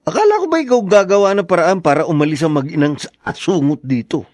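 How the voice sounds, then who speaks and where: Radio soap role: bossy freeloading son-in-law, grumpy schemer, slightly high male voice, dry close sound. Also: expressive, fast, darkish tone, no echo. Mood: irritated scheming. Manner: surly, demanding. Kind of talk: radio drama acting.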